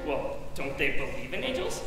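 A man's voice: a little high, huge pitch swings, moderate pace, over music. A young man on stage playing an ancient, innocent guardian angel with a genteel, earnest manner in a live radio play. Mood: innocent, cheery. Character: ancient, innocent, eager male second-class guardian angel. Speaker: stage actor. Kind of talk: live 1940s-style radio play acting. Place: theater stage in a large auditorium. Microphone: distant camera. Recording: echoey hall from far off.

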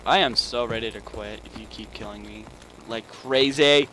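A man's voice: on the low side, normal speed, pushed and bright. Whiny young gamer boy yelping. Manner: whiny, exclaims often. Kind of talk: casual gaming chat. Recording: noisy home mic.

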